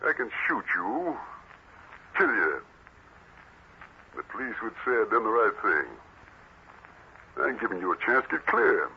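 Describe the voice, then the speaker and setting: An actor playing a scheming, cowardly crook in a melodramatic old-time radio crime drama. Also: male voice, fairly low pitch, sing-song, a little slow, pauses often. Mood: menacing. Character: scheming male villain, threatening then cowardly and desperate. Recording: muffled, noisy old radio recording.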